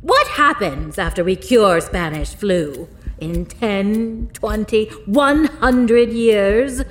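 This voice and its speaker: A woman's voice: mid-range voice, expressive, slow pace. An actress playing an ancient, demented female inventor in an audio drama, doting and manic, savoring her words theatrically. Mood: scornful. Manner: theatrical, savoring words, breathy gasps and laughter.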